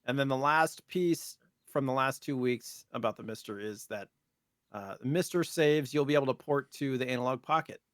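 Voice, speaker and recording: A man's voice: medium pitch, moderate pace, a bit bright, dry recording, studio quality. A man co-hosting a podcast, chatting casually about video game news. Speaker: podcast co-host.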